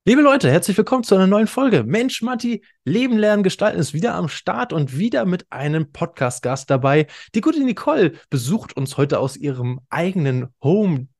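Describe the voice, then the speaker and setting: A man's voice: high voice, sing-song, normal speed, hardly any pauses, a little mellow. A man hosting a podcast in a casual, chatty interview style, addressing listeners directly in informal language. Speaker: podcast host.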